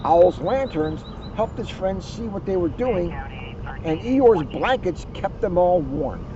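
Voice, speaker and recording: A man with a high voice reads a children's story aloud. He is recorded outdoors, slightly muffled, with clear noise behind him. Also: lively intonation, slow. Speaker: police officer reading a children's book.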